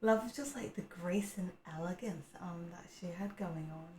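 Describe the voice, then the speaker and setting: A woman's voice: on the low side, normal speed, darkish tone, a little hiss or hum. A woman reacting casually to game trailers in a playful, gushing way full of admiring exclamations. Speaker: gaming video reactor. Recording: roomy echo, game music behind. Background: game trailer music.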